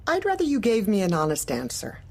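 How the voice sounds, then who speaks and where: A woman playing a manipulative, politely officious office manager who probes in a businesslike way, in a dark comedy audio drama. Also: mid-range voice, wildly swooping pitch, a little fast. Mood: probing, businesslike. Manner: polite, probing, businesslike, bureaucratic.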